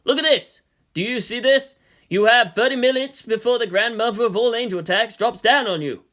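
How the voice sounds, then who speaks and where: A woman's voice: a little high, fairly quick, a bit bright, natural voice. A woman voicing a weary, stern male president in a dramatic story, grave and emphatic. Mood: exasperated.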